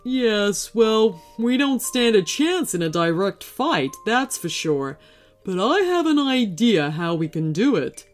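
Woman voicing a big male horse, animated and earnest. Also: mid-range voice, measured pace, soft background music. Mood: encouraging. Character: big gentle male horse, steady encouraging guide. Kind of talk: children's storybook character voice.

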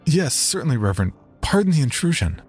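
A flustered father from the 1860s, voiced by the narrator, raising his pitch a little above his narrating voice. He talks in stiff, formal, old-fashioned phrases, with gentle music in the background. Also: very animated, fast talker. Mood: sheepish.

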